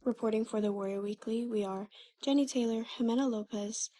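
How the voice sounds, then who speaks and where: A teenage girl reading a scripted student news report in a steady, upbeat way. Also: a little high, fairly quick, slight background noise. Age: teens.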